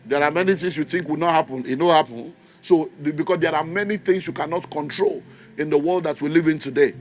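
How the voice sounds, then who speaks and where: A man's voice: a little high, fast, a little hiss or hum. A man in his fifties with a Nigerian accent, preaching a sermon in a church, stressing and repeating key phrases and leading the congregation in call and response. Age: 50s.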